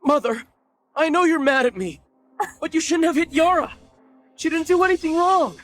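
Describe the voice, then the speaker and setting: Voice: sits high, normal speed, fairly bright tone, soft background music. A performer playing the indignant grown child of a noble matriarch in a dubbed period drama, earnest and protesting.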